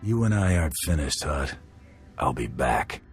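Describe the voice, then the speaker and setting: A hard-bitten bounty hunter drawls short, dry comebacks in a game scene. The voice sits extremely low and cocky. Faint music runs underneath. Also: male voice, big pitch swings, moderate pace. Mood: deadpan. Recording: music behind.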